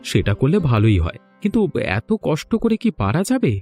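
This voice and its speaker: A man's voice: fairly high pitch, huge pitch swings, fairly quick, mellow, faint music behind. An actor playing a weary, troubled village man in a fairy-tale cartoon, speaking in an earnest, lamenting way. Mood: worried.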